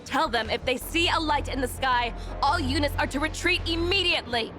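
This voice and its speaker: High-pitched, commanding female general played by a woman. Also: fairly quick, natural voice. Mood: urgent resolve. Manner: firm, commanding. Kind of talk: video game voice acting. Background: game music.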